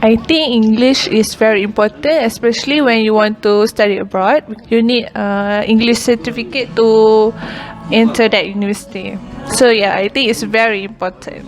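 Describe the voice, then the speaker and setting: A woman's voice: on the high side, steady tone, moderate pace, hardly any pauses, soft background music. A young woman from Malaysia, a university student whose first language is Malay, answering interview questions in English with a Malaysian accent and many "uh" and "like" fillers. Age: young adult. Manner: hesitant, frequent "uh" and "like" fillers.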